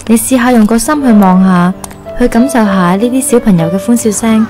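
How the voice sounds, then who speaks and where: A woman acting a kindly nun in a radio drama, giving measured, philosophical advice in Hong Kong Cantonese. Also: on the high side, darkish tone, faint music behind, close to an everyday voice. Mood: earnest, guiding. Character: calm, kindly nun counselling a grown orphan.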